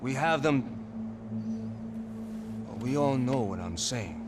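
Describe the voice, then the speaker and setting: Measured, mid-pitched wealthy man. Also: animated, heavy background noise. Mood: grave, calculating. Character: wealthy, calculating Brazilian politician brother, measured. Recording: loud music under the voice.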